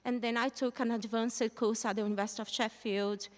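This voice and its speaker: A woman's voice: a little high, fairly even intonation, fairly quick. Brazilian woman speaking on a stage. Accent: Brazilian Portuguese. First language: Portuguese. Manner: chatty, laughs between lines. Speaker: guest speaker. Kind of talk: live storytelling on stage. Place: live venue stage with audience. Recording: long hall reverb.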